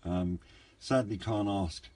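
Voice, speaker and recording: A man giving a casual, unscripted hobbyist product review in a hesitant, low-key, rambling way. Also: takes it slowly, a little mellow. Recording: clear background noise, room ambience.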